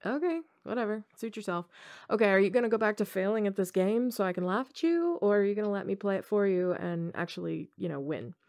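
A woman's voice: mid-range voice, expressive, fast, a little mellow, close to an everyday voice. A woman playing a playful, teasing college-age tomboy gamer girl in an intimate ASMR roleplay, talking casually in slang with hesitations. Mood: playful, relaxed.

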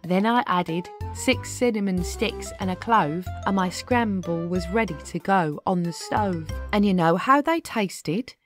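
A woman narrating a rhyming children's story aloud in an expressive, deliberate, rhythmic way. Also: on the low side. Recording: music behind the voice. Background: music.

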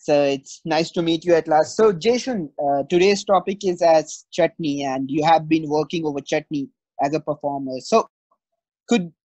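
A young man asking interview questions over a video call, halting with fillers. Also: a little high, a little mellow, no room sound. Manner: halting, many fillers and restarts. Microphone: video call webcam. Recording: dull dry video call audio.

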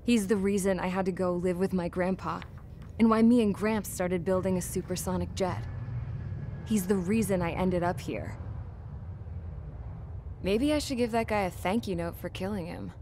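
Low-voiced jaded young woman played by an actress. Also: moderate pace, long pauses, slight background noise, lightly acted. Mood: subdued, bitter. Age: young adult. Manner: world-weary, sardonic. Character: jaded young female mechanic imprisoned in a penal unit. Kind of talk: scripted dramatic monologue narration. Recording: dramatic music loud behind.

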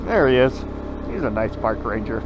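A man's voice: mid-range voice, big pitch swings, moderate pace. A young man on a motorcycle, joking and swearing as he talks through a ride on a mountain road, recorded by a helmet camera. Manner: profane, joking, rambling. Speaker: motovlogger. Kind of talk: casual helmet-cam commentary while riding. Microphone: helmet camera mic. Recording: wind and engine noise behind.

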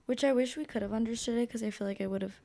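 A woman's voice: fairly high pitch, fairly even intonation, racing, gravelly, dark tone, no room sound. A young woman co-hosting a podcast from a hotel room, talking earnestly and reflectively, sometimes searching for words. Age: young adult. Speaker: podcast co-host. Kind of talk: casual chat. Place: hotel room, seated on bed.

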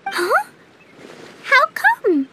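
A woman's voice: very high voice, huge pitch swings, extremely slow, noisy background, exaggerated voice. A woman voicing a cheerful, innocent, wide-eyed little girl in a video game, exclaiming in a childlike way. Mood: puzzled.

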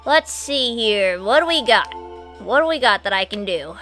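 A woman in her twenties chatting casually as she live streams a game, excitable and foul-mouthed. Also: on the high side, normal speed. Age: 20s. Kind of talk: casual gameplay commentary and chat with viewers. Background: video game music and sound.

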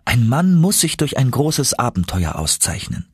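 A man voicing a gentle, brave young sweetheart girl, tender and earnest, in an audiobook. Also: medium pitch, very animated, a little slow, breathy edge, no echo, clean studio sound, pitched above the performer's own voice. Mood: earnest, encouraging.

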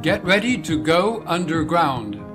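Slow, higher-pitched man reading scripted narration. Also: very animated, over music. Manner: measured, deliberate reading.

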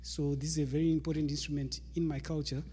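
A man in his thirties, calmly introducing his music set into a stand microphone in a small studio room. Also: fairly high pitch, quick pace, long reverb tail. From Zimbabwe. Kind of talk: spoken introduction to a live performance. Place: small indoor studio room with patterned wall hanging. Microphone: microphone on a stand in front of him.

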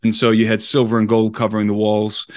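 A man's voice: lowish voice, monotone, quick pace, no room sound, clean studio sound. A man speaking as a podcast guest, telling history conversationally in an enthusiastic, explanatory and digressive manner. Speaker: podcast guest, explorer and researcher.

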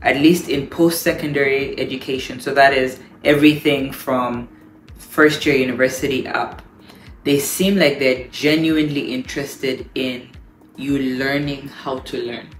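A woman in her thirties from Uganda, a pastor giving lively, emphatic advice on a couch, repeating phrases for stress. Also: rumbling low, a little slow, a little hiss or hum. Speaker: pastor and guest. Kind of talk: casual advice talk. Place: living room, on a couch. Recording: roomy echo, faint music.

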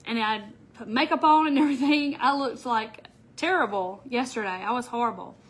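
A woman's voice: fairly high pitch, normal speed, slightly rough. A middle-aged woman hosting a casual craft livestream from an indoor craft room, sounding nasal from a cold and greeting viewers by name. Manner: chatty, greets viewers by name as she goes. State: stuffy nose from a summer cold. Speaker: craft show host. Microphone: camera on the table in front of her.

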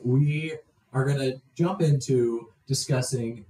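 A man's voice: medium pitch, slow, mellow. A teenage boy from Saskatchewan with a Canadian accent, co-hosting a casual podcast discussion. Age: teens. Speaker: podcast co-host. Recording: roomy, distant microphone.

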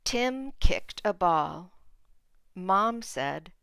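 A woman reading a storybook aloud as a teacher for learners, in deliberate, simple phrases. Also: medium pitch, lively intonation, vocal fry, fairly bright tone, smooth, dry recording, clean studio sound.

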